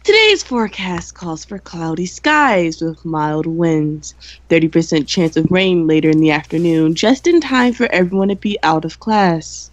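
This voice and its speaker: A young woman playing a dry, cynical high school senior girl who co-hosts a campus radio show in an amateur radio play. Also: expressive, normal speed, no echo, highs cut off, lightly acted.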